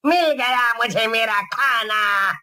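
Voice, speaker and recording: A man's voice: very high voice, takes it slowly, sharp tone, no echo, clean studio sound, heavily acted. A man voicing a lazy, boastful young village man in a cartoon story, gleeful as he dreams of getting famous. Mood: spooky. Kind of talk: cartoon voice acting.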